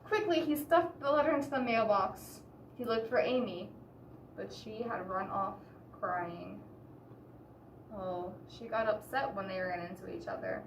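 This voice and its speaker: A woman reading a picture book aloud expressively to children, pausing to explain and ask the listeners questions. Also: medium pitch. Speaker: children's story reader. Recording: roomy echo, distant mic, background hiss.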